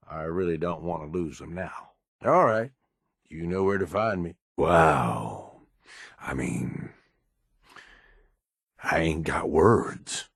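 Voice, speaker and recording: Casting: cynical game raider sidekick, deep gruff drawl, blunt and loyal, close dry sound. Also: male voice, no echo, heavily acted. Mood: businesslike. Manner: rough colloquial drawl, blunt, swearing. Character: gruff cynical raider henchman, grudgingly loyal, foul-mouthed. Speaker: video game companion character. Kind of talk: video game dialogue lines.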